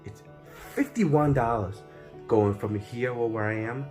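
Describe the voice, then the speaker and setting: A man's voice: medium pitch, unhurried, darkish tone, faint music behind. Tired man vlogging from his car. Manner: upbeat, repeats catchphrases, loose rambling talk. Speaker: rideshare driver. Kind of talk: casual vlog narration while driving.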